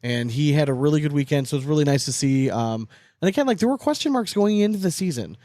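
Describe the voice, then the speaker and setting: Animated, opinionated man on a podcast. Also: on the high side, fast talker, dry recording, clean studio sound. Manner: animated, opinionated, swears often. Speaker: podcast co-host. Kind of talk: casual sports chat.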